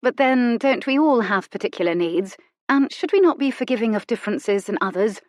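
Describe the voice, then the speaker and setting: A woman puts on a daring young lady of the Regency era, coaxing and coy, her voice lifting brightly as she persuades. The sound is close and dry. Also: fairly high pitch, expressive, a little fast, dry recording, pitched above the performer's own voice, lightly acted.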